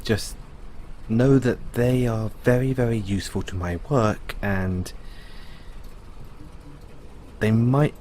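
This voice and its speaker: A man playing a thoughtful, kindly owner of an android in an audio roleplay, musing and explaining things to the listener in a measured way. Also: fairly low pitch, a little mellow, slight background noise. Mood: casual, slightly secretive.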